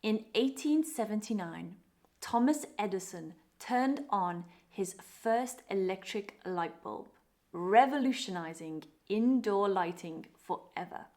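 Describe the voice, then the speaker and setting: A British woman with a British English accent, presenting a scripted online English lesson in a clear, upbeat teaching manner. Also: medium pitch, lively intonation, slow pace, a little mellow. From Britain.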